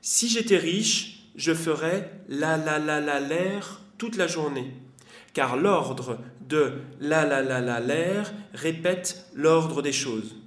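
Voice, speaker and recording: A man's voice: fairly high pitch, slow pace. A man voicing a whimsical round philosopher creature with a snorkel in a playful sing-song recitation. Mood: dreamy. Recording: echoey room.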